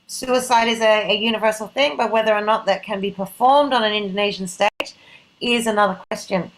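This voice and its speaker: A woman's voice: medium pitch, steady tone, fairly quick, rough recording. A middle-aged woman moderating an event on a video call, talking casually and self-deprecatingly in an informal, rambling way.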